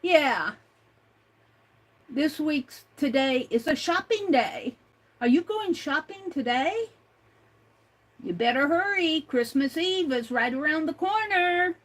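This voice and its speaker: An older woman hosting an art livestream, chatting slowly and reading out viewer comments, with many fillers and restarts. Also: high-pitched, animated. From United States.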